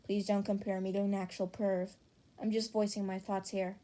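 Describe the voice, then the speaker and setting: A woman's voice: medium pitch, little pitch movement, moderate pace, mellow, lightly acted. A woman voicing a frank, infatuated teenage student in an audiobook, understated and matter-of-fact. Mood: deadpan longing.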